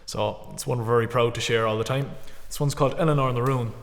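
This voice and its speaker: A young man from Connemara speaks with a Connemara Irish accent, Irish being his first language. He talks earnestly and reflectively, with ums and self-corrections, in a flat, even tone. A long hall reverb trails behind his voice. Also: mid-range voice, fast. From Conamara, Ireland. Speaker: traditional musician. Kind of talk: on-stage talk introducing songs.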